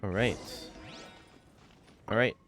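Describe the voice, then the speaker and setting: A woman's voice: rumbling low, takes it slowly, soft background music. A woman giving casual let's play commentary as she goes through a video game. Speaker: gaming video creator.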